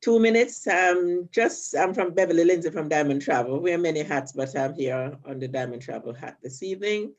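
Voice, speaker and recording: A woman's voice: low-pitched, animated, normal speed, hardly any pauses, dull audio. An older woman from Jamaica with a Jamaican accent, giving upbeat, chatty closing remarks at an online community event, with frequent fillers.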